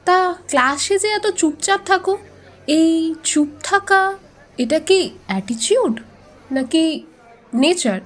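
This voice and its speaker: Audio drama role: actress as confident, aloof college girl; high, slow delivery with lively swings. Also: heavily acted. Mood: cool, probing. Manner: cool, aloof, drawling with English phrases. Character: confident, haughty, stylish young female college student. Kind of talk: audio story acting.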